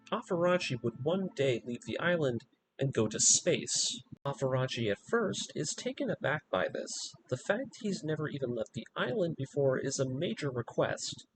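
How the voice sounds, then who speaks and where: A man giving a scripted story recap in a measured, explanatory storytelling manner, as the narrator of a lore video. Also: fairly high pitch, low-quality recording.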